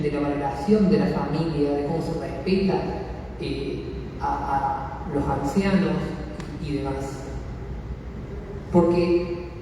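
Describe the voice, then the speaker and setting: Lecturer, man, mellow voice, recorded from far off in an echoey hall. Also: a little high. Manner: measured, explanatory, addresses audience. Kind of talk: conference talk. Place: community center hall. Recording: echoey hall, mic far off, faint noise.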